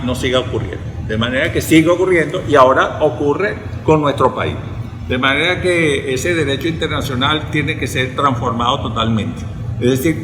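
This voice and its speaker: A Venezuelan man giving his opinion in a panel interview, deliberate and often restating his phrases. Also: mid-range voice, a bit bright. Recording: very echoey, reverberant hall.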